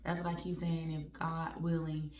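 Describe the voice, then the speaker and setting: A woman in her forties talking candidly and warmly to her viewers in a casual, self-joking way. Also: deep voice, completely flat delivery, normal speed, roomy sound, far from the mic. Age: 40s. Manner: candid, conversational, laughs at herself, uses y'all. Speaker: vlogger. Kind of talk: personal heartfelt talk to viewers.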